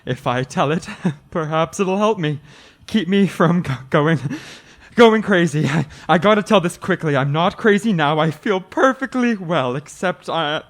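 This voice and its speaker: An actor playing a frightened man of thirty-six who tells his story with halting, dramatic urgency in a radio play. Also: a little high. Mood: agitated, desperate. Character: haunted, frightened thirty-six-year-old male traveler telling his story. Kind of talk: radio play acting, first-person suspense narration.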